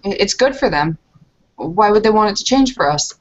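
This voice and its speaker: Quiet, subdued woman on a lo-fi mic. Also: medium pitch, lively intonation, a little fast. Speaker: player voicing her character in a tabletop game. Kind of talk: in-character roleplay with narration of her actions.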